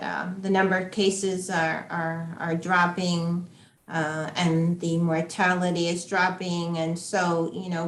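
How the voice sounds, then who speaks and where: A woman moderating an academic panel, speaking haltingly and often restarting her sentences. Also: lowish voice. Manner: hesitant, many fillers and restarts. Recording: echoey room sound.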